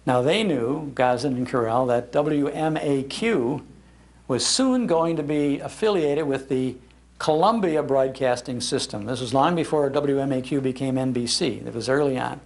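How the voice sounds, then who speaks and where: An American man telling anecdotes to a gathering as an animated raconteur. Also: mid-range voice, normal speed. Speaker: speaker, radio historian. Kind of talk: anecdotal storytelling in a public talk. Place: public library talk.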